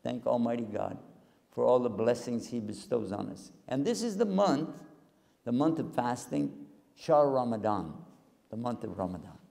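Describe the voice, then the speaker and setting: An older man gives a folksy, joking lecture to a live audience. His voice is gravelly and mellow. A long reverb hangs after his words in the large hall. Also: medium pitch, sing-song, normal speed. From Texas. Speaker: Islamic preacher and TV host. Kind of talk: humorous public lecture. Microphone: handheld mic, far camera.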